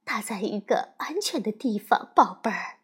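A woman voicing a gentle mother bear who comforts her grieving child in a soft, soothing way. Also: on the high side, lively intonation, slow pace, breathy edge, full character voice.

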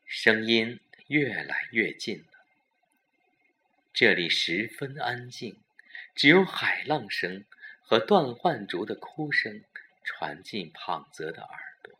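Male narrator for a thriller audio drama. Fairly low, bright forceful tone, dramatic delivery. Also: very animated. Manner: dramatic, deliberate storytelling.

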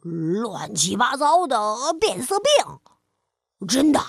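A woman voicing a big male lion, gruff but easily frightened, in a storybook reading. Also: on the high side, very animated, very slow, no room sound, clean studio sound, pitched below the performer's own voice, full character voice.

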